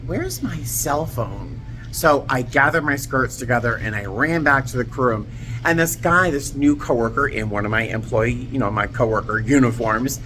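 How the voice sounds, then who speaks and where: A man vlogging casually as a flight attendant, talking in a rambling, self-deprecating way with a chesty, slightly raspy voice from allergies. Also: mid-range voice, fairly bright tone, a little hiss or hum, poor audio. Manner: rambling, self-deprecating, many fillers and restarts. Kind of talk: casual vlog chat. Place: in a parked car, then airport.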